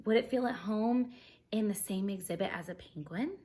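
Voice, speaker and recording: A woman's voice: mid-range voice, normal speed, warm tone, slight background noise. A woman teacher reading a children's picture book aloud expressively, explaining things simply and putting questions to her young listeners.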